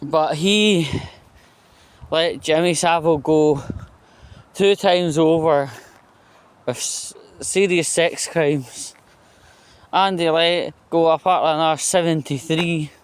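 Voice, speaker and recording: A Scottish man with a slightly high, clean and smooth voice talks through his political opinions in a loose vlog style. He takes his time, rambling in a conversational way. His manner is blunt and sweary, and he often tacks a short question onto his points. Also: fairly even intonation, slow pace, pauses often, no echo. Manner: conversational with frequent tag questions. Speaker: vlogger.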